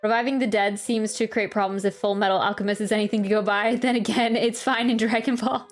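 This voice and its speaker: A woman's voice: medium pitch, steady tone, a little fast. A woman streaming a game playthrough, reading text aloud and reacting with casual commentary. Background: faint game music.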